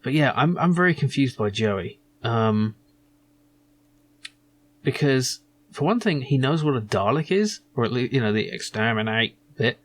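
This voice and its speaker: A man's voice: medium pitch, expressive, unhurried. A man hosting a let's play, giving casual, musing commentary as he goes through an adventure game. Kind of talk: casual gameplay commentary, reading game dialogue aloud.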